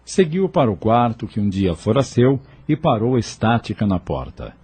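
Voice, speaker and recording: A man's voice: on the low side, sing-song, normal speed, mellow, breathy edge. A man narrating a scripted audio novel as a storyteller.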